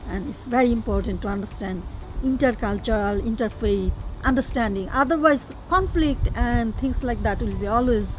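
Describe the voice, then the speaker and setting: High-voiced woman, earnest lecturer. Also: normal speed, faint music behind, poor audio. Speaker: university lecturer. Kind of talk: interview answer. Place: outdoors in a garden.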